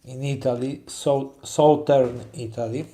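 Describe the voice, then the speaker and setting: A man's voice: medium pitch, fairly even intonation, measured pace, noisy background. A man from Poland with a Polish accent, a woodcarver who chats while he works and keeps stopping to search for English words. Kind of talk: casual chat while carving.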